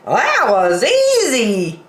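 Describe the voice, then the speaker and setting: Woman putting on a high, sing-song storybook buffalo. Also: wildly swooping pitch, very slow, fairly bright tone, reverberant, full character voice. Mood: boastful, cheery. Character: boastful cheery male buffalo, grinning and timid underneath.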